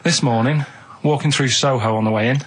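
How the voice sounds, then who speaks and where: An English-accented man, a radio show regular, telling rambling anecdotes in a halting, earnest, matter-of-fact way. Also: mid-range voice, breathy edge.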